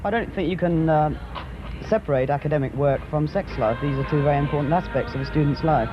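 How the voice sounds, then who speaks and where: A young man talks quickly at an ordinary pitch, laying out his opinion earnestly and logically. The recording is muffled, with clear noise, like old film sound. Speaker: interviewee giving opinion. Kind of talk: earnest, reasoned interview answer. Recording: dull, noisy 1960s newsreel sound.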